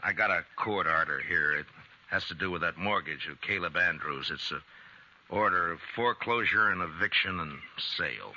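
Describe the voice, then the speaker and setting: Weary western lawman, very low voice, plain-spoken, hesitant, 1950s radio drama, muffled recording. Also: bright, forceful tone, no echo, exaggerated voice. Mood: reluctant, regretful. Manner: plain-spoken, hesitant, folksy drawn-out phrasing. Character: weary, conscience-stricken male frontier marshal. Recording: dull old radio recording.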